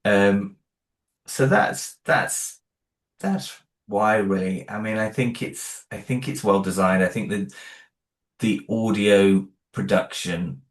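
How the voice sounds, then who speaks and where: A man with a very low voice talks casually about his own creative work. He is self-deprecating and hesitant and stumbles over his words. His phrases trail off and restart. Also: slow pace. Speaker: audio drama creator, interview guest. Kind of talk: casual interview conversation.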